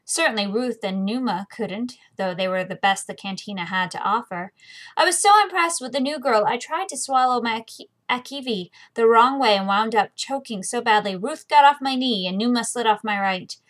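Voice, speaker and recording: A woman's voice: on the high side, normal speed, a bit bright. An American woman with an informal, conversational way of talking, hosting a book reading video series. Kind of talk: casual chatty vlog, then reading a novel aloud.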